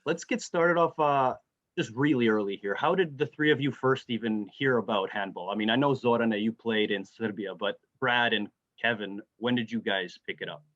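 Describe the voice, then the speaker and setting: Male podcast host, mid pitch, muffled lo-fi sound, friendly questions. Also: a bit bright. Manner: friendly questions, quick laughs. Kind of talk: casual interview hosting. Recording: dull, lo-fi audio.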